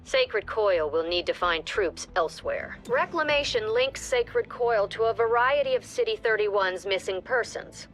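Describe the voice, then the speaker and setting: An actress playing a stern commander briefing a tactical police squad in a clipped, authoritative way. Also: female voice, a little high, lively intonation, a little slow, few pauses. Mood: stern, businesslike. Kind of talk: scripted game briefing narration. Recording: music under the voice.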